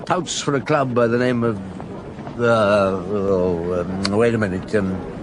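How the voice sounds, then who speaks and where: An actor playing a genial, unhurried Paris police chief inspector in a vintage radio drama, relaxed and chatty, searching his memory aloud. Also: fairly low pitch. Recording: old broadcast with steady hiss.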